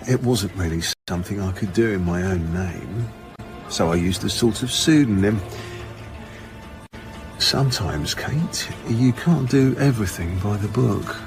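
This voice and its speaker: An actor in a radio drama playing a smug, cheerful senior police chief with a breezy, official manner. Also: male voice, on the low side, measured pace, music in the background. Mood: sly, confiding.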